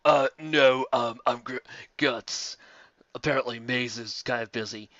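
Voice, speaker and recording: A man's voice: mid-range voice, a little slow, a bit bright, no echo, lo-fi sound. A man giving a casual amateur dramatic reading as a guest playing character parts.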